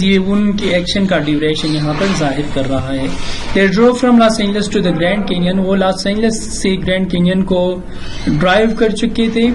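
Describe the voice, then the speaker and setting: A man with a Pakistani accent teaching a bilingual English lesson, explaining grammar and reading out examples in a deliberate, instructional way. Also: sits high, noisy background.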